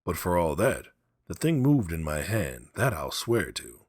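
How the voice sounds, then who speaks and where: A man's voice: low voice, big pitch swings, unhurried, no room sound, studio quality, exaggerated voice. A man playing an earnest, stubborn old husband and father in a storybook reading. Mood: insistent.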